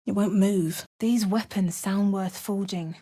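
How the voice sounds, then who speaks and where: Dry sarcastic game heroine, cautious, quick little remarks. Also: female voice, mid-range voice, fairly even intonation, mellow, breathy edge. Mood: wry. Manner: dry, sardonic asides. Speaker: player character. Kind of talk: game voice lines.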